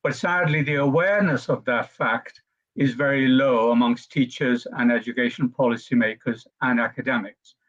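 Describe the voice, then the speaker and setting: A man talks slowly on an online panel, pausing often as he gathers his thoughts. His voice sits at an ordinary pitch and comes through a slightly dull, lo-fi video-call line. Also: steady tone, a bit bright. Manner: measured, thoughtful, many hesitations. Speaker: panel speaker.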